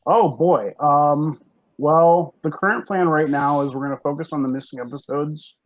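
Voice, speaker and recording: A man's voice: fairly high pitch, normal speed, poor audio. Rambling man in casual panel chat. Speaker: panelist, organizer of fan script readings. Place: remote group video call.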